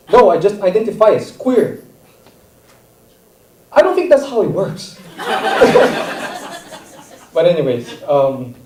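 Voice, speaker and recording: A man with a non-native English accent doing a stand-up set, self-deprecating and halting, laughing at his own jokes. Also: fairly high pitch, a little mellow. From Brazil. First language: not English. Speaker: stand-up comedian. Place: comedy theater stage. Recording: roomy live theater, mic at a distance.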